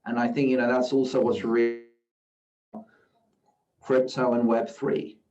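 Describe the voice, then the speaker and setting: A middle-aged man from South Africa, a guest investor giving measured, reflective interview answers and often saying "you know", at a live event. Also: mid-range voice, flat delivery. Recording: roomy, distant, dull sound.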